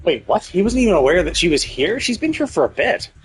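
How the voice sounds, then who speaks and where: A man's voice: high-pitched, big pitch swings, quick pace. A man giving casual, opinionated live-stream commentary on a game, rambling and often tagging on "right?". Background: game music.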